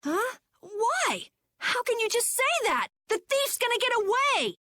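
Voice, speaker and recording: A woman voicing an excitable, boastful young boy in a video game, earnest and whiny, easily flustered. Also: very high-pitched, measured pace, no room sound, clean studio sound, heavily acted. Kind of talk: English video game dub acting.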